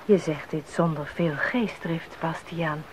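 A composer's gentle wife in a radio drama, a woman with a low voice. She questions intimately, with concern. Faint noise runs behind her. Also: slow pace. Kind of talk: Dutch radio drama acting. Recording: faintly noisy 1980s radio recording.

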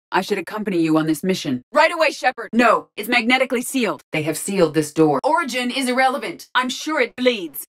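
A woman playing a logical, composed starship AI in an android body, speaking scripted game lines with precise, formal diction. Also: fairly low pitch. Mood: urgent, alert. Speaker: video game character voice actor.

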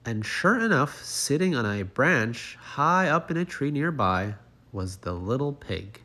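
A man narrating a children's picture book as a read-aloud, in an expressive storytelling manner. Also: mid-range voice, measured pace.

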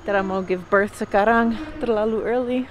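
A woman's voice: medium pitch, steady tone, fairly quick. A pregnant young woman vlogging a road trip, chatting playfully and mixing with Indonesian, filmed at arm's length outdoors and in a car. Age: young adult. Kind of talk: casual travel vlog. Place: outdoors at a roadside rest area and in a car. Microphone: handheld camera at arm's length. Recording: noisy outdoor phone-camera audio.

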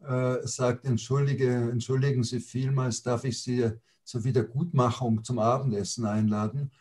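A man in his sixties comments on literature as a discussion partner on a video call. His pitch is medium and stays very flat, and his delivery is measured with small restarts. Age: 60s. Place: indoors, book-lined study on a video call.

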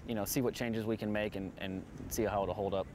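A man in his thirties talks about his work in an easy, halting way, full of ums and restarts. He is recorded on a lapel mic outdoors in an empty stadium. A faint hum of the outdoors sits behind him. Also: fairly low pitch, steady tone, a little mellow. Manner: hesitant, many ums and repeated words. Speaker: stadium field manager. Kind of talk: casual interview answers.

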